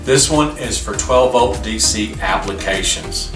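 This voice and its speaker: A man's voice: on the low side, animated, a little slow. A man giving a product demonstration as a specialist, explaining things in a plain-spoken way. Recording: roomy, distant mic with music behind. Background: music.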